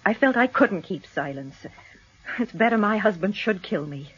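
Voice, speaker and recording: A woman playing a humble, distressed farmer's wife in a radio drama, earnest and halting. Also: medium pitch, lively intonation, slightly rough. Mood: ashamed, earnest. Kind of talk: BBC radio drama acting. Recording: dull archive radio recording.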